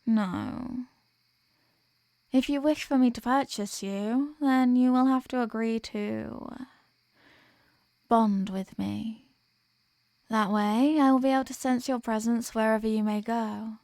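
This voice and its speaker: Slow, possessive vampire mistress voiced by a woman. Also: a little high, darkish tone, no room sound. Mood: teasing, seductive. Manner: slow, teasing, condescending, formal phrasing. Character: possessive, dominant female vampire toying with captive prey. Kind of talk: ASMR roleplay, scripted intimate monologue.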